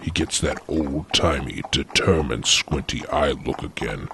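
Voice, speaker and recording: A man narrating a comedy script like screenplay directions, in a deadpan, mock-dramatic way. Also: rumbling low, unhurried, noisy background. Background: music.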